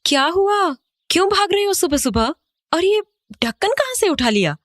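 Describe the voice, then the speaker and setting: A woman's voice: very high-pitched, sing-song, normal speed, no echo, studio quality. A woman playing an uneasy, questioning young mother in a Hindi horror audio drama, speaking expressively. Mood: alarmed, puzzled. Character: uneasy, questioning young mother back in her childhood home.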